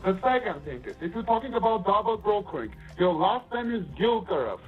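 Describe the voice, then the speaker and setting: A high-voiced man with an Eastern European accent argues down a tinny phone line. He speaks English as a second language, pushing and confrontational. Faint music sits behind him. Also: big pitch swings, a little fast. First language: not English. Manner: aggressive, broken English. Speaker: caller, freight broker.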